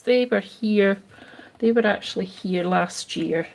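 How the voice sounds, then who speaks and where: A Scottish woman talks slowly and gently through a crafting livestream, often dropping to a near-whisper while she works. Her voice has a gravelly, creaky edge. Faint noise and small clicks sit behind her. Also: mid-range voice. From Scotland. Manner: chatty, reads and answers viewer comments while working; slow, soft, drifting into near-whispers as she concentrates. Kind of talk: casual crafting livestream chat.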